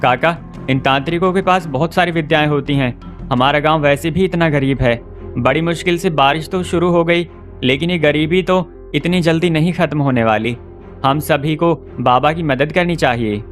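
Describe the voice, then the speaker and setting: A man's voice: on the high side, normal speed, faint music behind, close to an everyday voice. A man voicing a poor, earnest villager in a cartoon story, speaking persuasively. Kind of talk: Hindi cartoon story dubbing.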